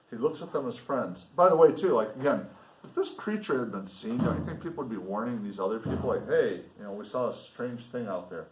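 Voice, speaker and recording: Man reading aloud in an echoey room. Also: a little high. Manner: deliberate, explanatory, pausing to comment for students. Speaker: teacher reading a novel aloud with commentary. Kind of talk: read-aloud lesson with casual asides. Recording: roomy echo, distant microphone.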